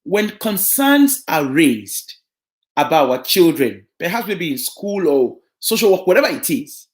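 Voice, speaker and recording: A woman's voice: fairly low pitch, a little slow. A woman from Africa on a live broadcast, advising parents about social work. She talks earnestly, repeats key points and keeps asking "right?". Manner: animated, keeps checking in with "right?". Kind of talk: advice talk to parents.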